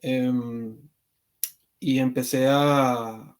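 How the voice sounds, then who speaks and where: A man from Venezuela speaks as a podcast guest, thinking out loud and halting often on fillers and restarts. His voice sits at an ordinary middle pitch for a man. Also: steady tone. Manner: hesitant, many fillers and restarts. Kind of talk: conversational interview.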